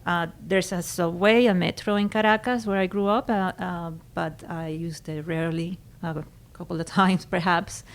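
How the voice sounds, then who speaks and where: Venezuelan woman, low voice, thoughtful. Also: fairly even intonation, moderate pace, few pauses. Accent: Venezuelan Spanish. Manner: thoughtful, searching for words. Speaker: guest photographer. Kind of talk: conversational interview.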